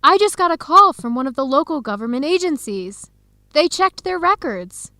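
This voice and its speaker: A young woman playing an earnest researcher searching for her birth family in an educational radio drama, speaking clearly and conversationally. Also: sits high, sing-song, moderate pace, bright, forceful tone, no echo, studio quality, heavily acted. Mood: excited, matter-of-fact. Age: young adult.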